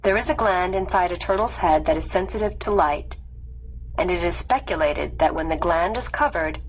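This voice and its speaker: Female narrator, lower register, measured educational story read, light background noise. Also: rough recording. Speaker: audiobook narrator. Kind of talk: scripted narration of a nonfiction story for students.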